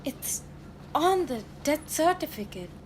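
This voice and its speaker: A teenage girl plays a gossipy, sentimental factory girl in a radio play. She speaks high and slowly, with folksy dramatic phrasing and faint noise behind. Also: lively intonation. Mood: hushed, earnest. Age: teenage high school student.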